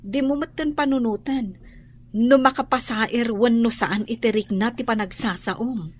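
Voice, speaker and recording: An actress with an Ilocano accent playing an aggrieved, firm but forbearing woman homeowner in a radio drama, measured and reproachful. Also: fairly high pitch.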